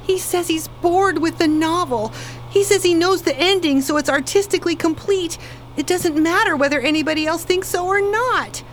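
A woman's voice: very high-pitched, moderate pace, a little hiss or hum. A woman playing a tired, earnest, exasperated wife in a radio play, passing on what her child says.

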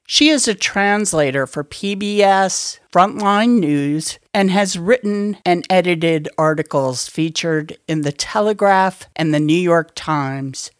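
A woman hosting a weekly radio interview show, giving measured, formal introductions and asking questions. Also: mid-range voice, no room sound, studio quality. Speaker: radio host.

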